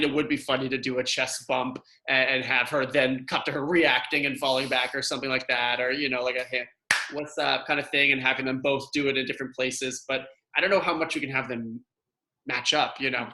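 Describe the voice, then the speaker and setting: Guy in a casual meeting, bright voice, thinking out loud, bit hesitant. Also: mid-range voice. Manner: thoughtful, hesitant, thinking aloud. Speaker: cast member in a production meeting. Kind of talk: casual discussion.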